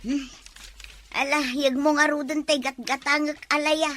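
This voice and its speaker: A woman acting in a comic village drama, with an excitable, exclamatory way of talking. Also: sits high, measured pace. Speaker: comedy drama actor.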